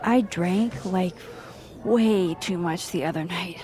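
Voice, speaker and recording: A woman's voice: fairly low pitch, takes it slowly, mellow. A woman voicing an awkward, introspective young photographer in a naturalistic video game style. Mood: awkward, confused. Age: young adult. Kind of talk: naturalistic video game acting, dialogue and musing.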